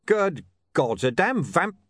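A man's voice: a little high, big pitch swings, slow pace, fairly bright tone, no echo, clean studio sound, pitched above the performer's own voice, full character voice. A man voicing a curt, blunt, irritable and suspicious police commander in an audiobook story. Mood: gruff, irritable.

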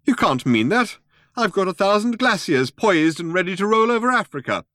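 A man's voice: high voice, very animated, moderate pace, a bit bright, no room sound, clean studio sound, pitched above the performer's own voice. A man acting a flustered, courteous old man. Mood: aghast, indignant. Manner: polite, hesitant, indignant when crossed. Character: courteous, flustered, easily wounded old man, proud craftsman. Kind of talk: audiobook character voice.

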